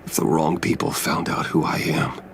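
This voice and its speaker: An actor playing a grave, principled masked vigilante in a video game, speaking tersely in a gravelly voice. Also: male voice, flat delivery, moderate pace, a little hiss or hum. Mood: solemn, insistent. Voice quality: deep, gravelly. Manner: terse, solemn.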